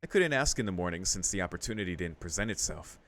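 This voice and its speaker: A man's voice: deep voice, lively intonation, fast talker, fairly bright tone. A man streaming a visual novel, reading the story text aloud and adding casual, musing commentary with some swearing.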